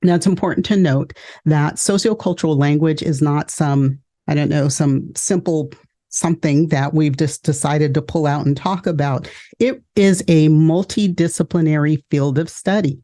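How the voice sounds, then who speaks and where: A woman from California hosting an education webinar, speaking in a clear, measured, list-like way. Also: sits low, warm tone. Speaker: education consultant and podcast host. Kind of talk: scripted webinar presentation.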